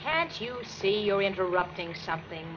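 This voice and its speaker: A man's voice: high voice, big pitch swings, moderate pace. An actor playing an irritated man shooing away an intruder in a 1940s radio play. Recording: muffled, noisy vintage radio recording.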